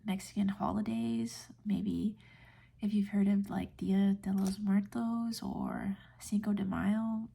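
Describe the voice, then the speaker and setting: Soft, whispery American woman, gravelly fry. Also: medium pitch, level tone, slow. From United States. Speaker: ASMR video creator. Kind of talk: soft-spoken ASMR rambling.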